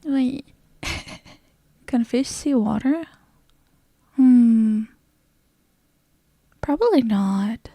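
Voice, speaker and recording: A woman hosts a relaxed livestream in a soft ASMR style. Her voice is fairly high yet mellow, with a noticeable creak. She talks casually and gently, sometimes near a whisper, close to the microphone. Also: very slow, long pauses, no echo. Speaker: livestreamer greeting chat and hosting a game stream.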